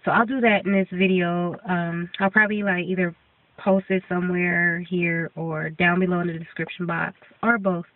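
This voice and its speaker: A woman's voice: on the low side, little pitch movement, normal speed. Congested young woman, tinny and distant. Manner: rambling, many ums and restarts. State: congested, getting sick. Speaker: vlogger. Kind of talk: casual video update. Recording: tinny phone-like sound from off-mic.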